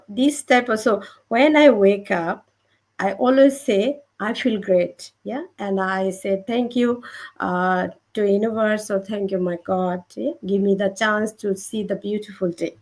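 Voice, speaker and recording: A woman from Bangladesh, with that accent and a medium-pitched voice, speaks as a guest on a motivational panel. Her non-native English is halting and unscripted, with phrases often repeated as she builds her personal testimony. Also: darkish tone. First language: not English.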